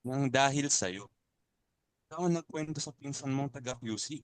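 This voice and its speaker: A man in a dramatic reading playing a calm, polite figure who speaks up against fear. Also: medium pitch, moderate pace, no room sound, close to an everyday voice. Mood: accusing.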